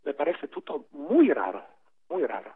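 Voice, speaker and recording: Guy on a tinny phone line, talks slow, lots of ums, kinda high voice. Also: wildly swooping pitch, vocal fry, breathy edge. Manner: halting, many fillers, slow and deliberate. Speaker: interview guest on phone. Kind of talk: phone interview, explaining at length.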